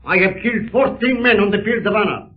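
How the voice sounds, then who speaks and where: An actor playing a boastful, menacing Spanish duelist with a Spanish accent and pompous, taunting manner in a 1930s radio serial. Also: male voice, sits high, quick pace, natural voice. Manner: pompous, taunting, formal speech. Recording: scratchy narrow-band 1930s radio recording.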